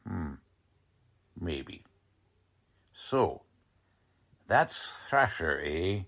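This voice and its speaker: An actor playing a gruff, bossy police lieutenant with tough-guy slang and brusque wisecracks, in 1940s radio drama style. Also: sits low, very animated, drawn-out words, a bit bright, full character voice. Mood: commanding. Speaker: police detective character.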